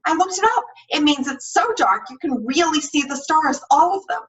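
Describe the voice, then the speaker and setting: A woman's voice: high-pitched, animated, normal speed, far from the mic, dull audio, voice pushed up. A woman voicing an eager, persuasive teenage girl in an audiobook-style story, gushing and pleading. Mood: pleading, dreamy. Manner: pleading, gushing, sing-song at times.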